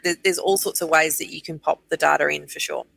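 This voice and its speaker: A woman's voice: deep voice, fairly even intonation, quick pace, creaky, fairly bright tone. An Australian woman being interviewed, explaining programs in an enthusiastic, conversational way. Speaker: guest, urban bird programs manager.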